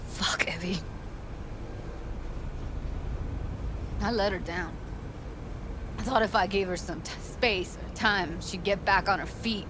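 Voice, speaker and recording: A woman's voice: mid-range voice, normal speed, noisy background, close to an everyday voice. A woman playing a tough, blunt, foul-mouthed young woman, fiery and raw with grief, in a video game. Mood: grieving.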